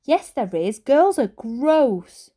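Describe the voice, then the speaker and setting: A woman's voice: sits high, sing-song, takes it slowly, warm tone, pitched above the performer's own voice, exaggerated voice. A woman voicing an awkward, easily embarrassed young schoolboy in a children's story, hesitant and defensive.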